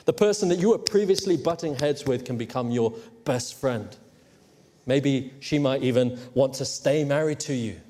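A man's voice: mid-range voice, normal speed, dark tone. A man from Hong Kong preaching a sermon emphatically, teasing his congregation at times. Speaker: pastor. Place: church. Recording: long hall reverb.

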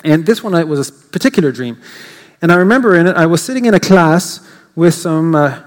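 A man's voice: fairly high pitch, animated, brisk. An older English Canadian man giving an informal, rambling church talk, with frequent fillers and restarts. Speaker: guest speaker. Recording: reverberant church hall.

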